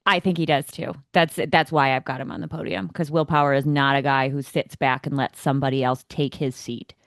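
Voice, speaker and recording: A man's voice: mid-range voice, fairly even intonation, fairly quick, gravelly, fairly bright tone, no echo, clean studio sound. An American man co-hosting a motorsport podcast, giving opinions in a casual, emphatic, conversational way.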